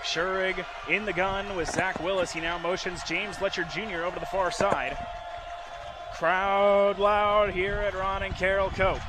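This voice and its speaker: A man with a high-pitched voice calls live football on the radio. He is excitable, moving from steady descriptions to shouted calls. The broadcast comes from a stadium outdoors, with clear background noise. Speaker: radio play-by-play football commentator. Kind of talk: live sports radio play-by-play commentary.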